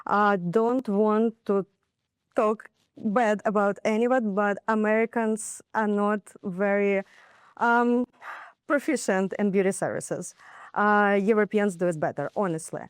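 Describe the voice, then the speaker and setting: A woman's voice: medium pitch, steady tone, measured pace, clean tone, no echo. A woman with a Ukrainian accent, a beauty studio founder, talking eagerly as a guest in a TV studio, with slightly non-native phrasing. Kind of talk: casual studio interview.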